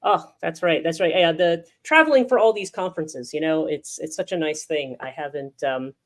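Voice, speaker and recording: A woman's voice: low voice, moderate pace. A woman moderating and hosting a video call, chatty and rambling, often restarting her sentences. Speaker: host and moderator.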